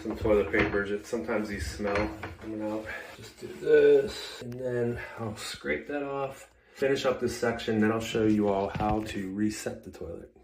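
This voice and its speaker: A man doing a casual how-to vlog as a flooring installer, rambling and correcting himself, sniffly from a cold, filming on a handheld camera. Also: fairly low pitch. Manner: rambling, self-correcting, chatty asides. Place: indoor bathroom on a job site. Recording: echoey room with noise behind.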